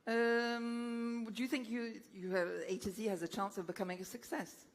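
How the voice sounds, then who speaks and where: A woman's voice: on the high side, flat delivery, normal speed. A woman hosting a live Q&A at a launch event, keeping things light and joking as she reads out questions. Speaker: event host and moderator. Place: large indoor launch event. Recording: reverberant event hall.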